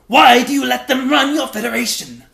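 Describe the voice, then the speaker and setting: A man's voice: very high voice, moderate pace, gravelly, sharp tone, voice pushed up, heavily acted. A man voicing a blunt, baffled alien warrior in a dramatic reading, speaking emphatically and challengingly. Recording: echoey, roomy sound.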